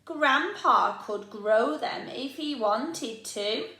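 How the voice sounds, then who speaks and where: A woman voicing a young storybook boy who is proud of his grandpa and stubbornly unimpressed, in a slow, exaggerated delivery. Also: fairly high pitch, animated, sharp tone, exaggerated voice. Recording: echoey room.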